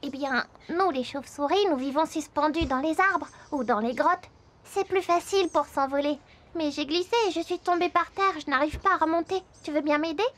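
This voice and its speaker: A woman voicing a polite, gentle female bat who patiently explains things in a children's cartoon. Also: sits high, moderate pace, a little hiss or hum.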